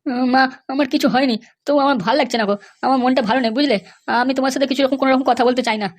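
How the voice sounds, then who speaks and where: A woman's voice: sits high, fairly even intonation, rapid-fire. A woman voicing a sulky, downcast young son in a cartoon, sullen and pleading. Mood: sulky, dejected. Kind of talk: cartoon dialogue.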